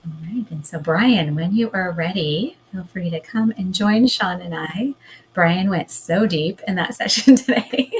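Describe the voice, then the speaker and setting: A woman, a hypnotherapist, leading a guided hypnosis and then chatting as a guest in a calm, gentle way. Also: fairly low pitch, unhurried, slight background noise, rough recording. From United States. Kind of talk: guided hypnosis then casual interview chat.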